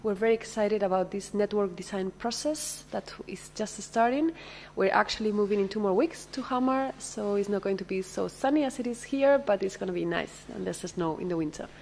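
A woman's voice: mid-range voice, fairly quick, creaky, darkish tone, slight background noise. Spanish-accented woman, handheld mic. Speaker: architect introducing her team. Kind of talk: short team introduction to camera. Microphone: handheld microphone.

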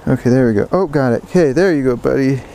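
A man giving excited, casual fishing commentary, repeating words in bursts, speaking outdoors into a body-worn action camera. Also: mid-range voice, quick pace, creaky, poor audio. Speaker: fishing vlogger. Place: outdoors beside a stream. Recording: outdoor water noise behind voice.

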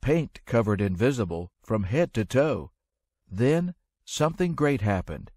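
Guy reading a rhyming kids picture book, really unhurried and expressive, super dry sound. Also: mid-range voice, no echo. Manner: expressive, measured storytelling. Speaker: storybook narrator. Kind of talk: rhyming children's read-aloud narration.

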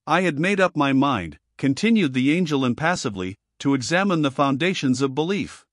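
A man reading the part of a haughty, learned male fallen angel in an audiobook, calm and impassive, in a dry, close sound. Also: on the high side, a little fast, dry recording.

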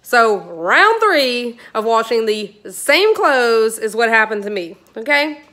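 A woman's voice: a little high, very animated, slow pace, pushed and bright. A woman, telling a home mishap story to a phone camera in her kitchen, exasperated and chatty. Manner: exasperated, chatty, addresses viewers directly. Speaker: vlogger, mother. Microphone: phone at arm's length.

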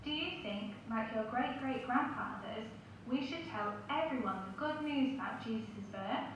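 A woman's voice: a little high, moderate pace. A woman presenting a scripted children's church show, addressing children and performers. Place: church hall, far camera. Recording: very echoey church, distant noisy mic.

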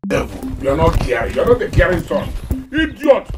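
A man's voice: fairly high pitch, big pitch swings, normal speed, darkish tone, soft background music. An actor playing a vain, indignant widowed father with a Nigerian accent, scolding and repeating himself in a domestic comedy-drama. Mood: defensive, angry. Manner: blustering, repetitive, scolding. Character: indignant, vain, shameless widowed father chasing a young woman.

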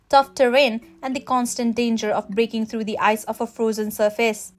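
A woman narrating a scripted entertainment news voice-over in an even, read-aloud manner. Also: on the high side. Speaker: channel narrator.

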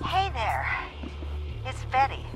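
A woman's voice: high-pitched, huge pitch swings, slow, music in the background, exaggerated voice. A woman playing a kindly, sweet older lady in a video game, with folksy manners. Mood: sweet, unhurried.

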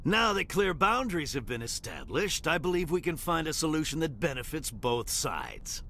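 An actor playing a pompous, hot-tempered crime warlord who styles himself a lord, with haughty, theatrical delivery in a video game. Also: male voice, fairly high pitch, big pitch swings, moderate pace, sharp tone, slight background noise, heavily acted. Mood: haughty, smug. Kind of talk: dramatic video game voice acting.

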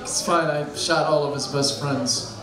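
Young guy doing dark deadpan standup, kinda high voice, roomy bar, crowd loud. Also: a little slow, over loud music. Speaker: stand-up comedian. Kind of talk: stand-up set. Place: small bar stage with a live crowd. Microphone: handheld stage mic. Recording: echoey room with loud crowd.

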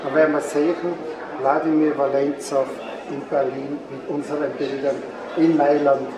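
A man's voice: fairly high pitch, little pitch movement, normal speed. An older man interviewing artists at an art fair, effusive and full of thanks. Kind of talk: casual interview. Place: large art fair hall, indoors. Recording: echoey fair hall, camera far off, crowd and noise behind.

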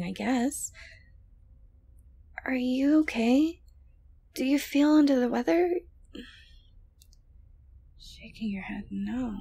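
A woman plays an obsessive yandere girlfriend in an ASMR roleplay. Her voice is fairly high and breathy, and she speaks very slowly. She coos and coaxes with a false gentleness, often sinking toward a whisper. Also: heavily acted. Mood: concerned. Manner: coaxing, cooing, falsely gentle, hesitant. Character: sweet-talking obsessive yandere girlfriend doting on her captive. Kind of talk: intimate ASMR audio roleplay.

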